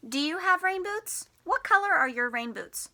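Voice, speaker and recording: A woman teacher reading a picture book to young children in an expressive, sing-song way, putting questions to them. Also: extremely high pitch, normal speed. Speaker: teacher and storytime host.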